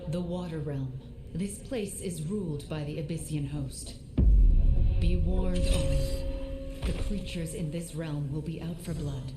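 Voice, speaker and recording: A woman's voice: low-pitched, unhurried. A woman playing a solemn mystic guide in a fantasy game, giving grave, portentous warnings. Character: solemn female mystic guide, cautioning and foreboding. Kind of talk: fantasy video game cutscene acting. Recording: very echoey, loud music behind.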